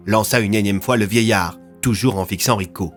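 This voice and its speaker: A man's voice: fairly low pitch, fairly quick, soft background music. A man narrating an audio fiction series in a scripted, dramatic storytelling style.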